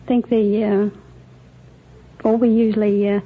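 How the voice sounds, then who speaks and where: A woman's voice: mid-range voice, level tone, unhurried. A Scottish woman in her twenties, answering shyly and hesitantly as a radio quiz contestant. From Scotland. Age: 20s. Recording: dull, noisy 1950 radio broadcast with audience.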